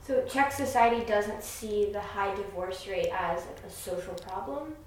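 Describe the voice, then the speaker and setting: A woman's voice: medium pitch, moderate pace. A woman interviewer asking direct, curious questions. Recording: echoey room.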